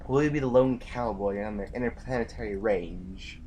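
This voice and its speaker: An actor playing a grim, stubborn general in a science fiction audio drama, speaking in formal, solemn phrases. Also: male voice, on the low side, normal speed, a little mellow, a little hiss or hum, natural voice. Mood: challenging. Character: grim, stubborn male military general facing a last stand.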